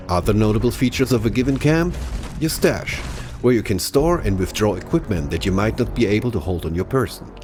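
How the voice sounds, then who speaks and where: Male game presenter, lowish and expressive, scripted explanatory read, music underneath. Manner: polished, explanatory. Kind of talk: scripted promotional narration.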